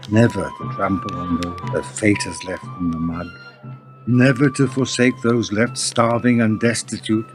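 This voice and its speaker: A man's voice: mid-range voice, lightly acted. An actor playing a proud, solemn medieval lord and father in a radio play, speaking in a grand, declamatory manner. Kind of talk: theatrical period radio drama in archaic English.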